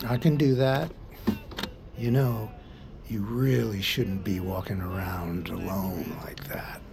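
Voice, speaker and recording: Slow, creaky folksy older truck driver. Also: male voice, deep voice, fairly even intonation, a crowd in the background, full character voice. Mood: friendly, concerned. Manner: folksy, halting, unhurried. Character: friendly, protective older male truck driver, folksy and old-fashioned. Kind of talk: audio drama dialogue. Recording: noisy vehicle cab ambience.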